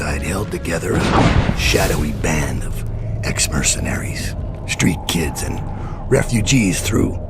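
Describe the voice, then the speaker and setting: An actor playing a weary, guilt-ridden middle-aged assassin leader, narrating grimly and giving curt commands in a game cutscene. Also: male voice, low-pitched, vocal fry, full character voice. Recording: game score playing loudly behind.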